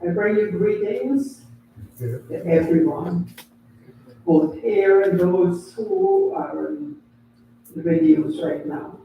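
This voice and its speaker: An older man from Guyana, giving a slow, deliberate memorial tribute as a family elder. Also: sits high, big pitch swings, vocal fry, dark tone, a little hiss or hum. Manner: slow, deliberate, pausing between phrases. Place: funeral home chapel. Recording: very echoey hall, distant mic.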